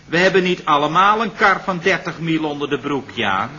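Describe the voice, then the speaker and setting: A man's voice: on the high side, animated, normal speed, sharp tone, dull audio. A man playing a sheepish, bruised, clumsy young helper in a 1960s radio play. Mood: explaining. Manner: sheepish, lists injuries with small "hè" tags. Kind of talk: 1960s radio drama acting.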